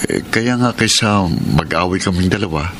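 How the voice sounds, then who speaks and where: A deep, earnest voice of a worried husband, played by a man in an old-style radio drama. He speaks in a troubled, confiding way. The broadcast carries steady background noise. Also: normal speed, lightly acted. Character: worried young husband suspecting his wife. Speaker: narrator of his own story. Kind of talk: classic radio drama acting.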